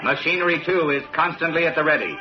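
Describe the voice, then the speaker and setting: A muffled old broadcast carries a man narrating a documentary over music. His voice sits slightly high for a man, and he reads his script with solemn, dramatic phrasing. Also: fast talker.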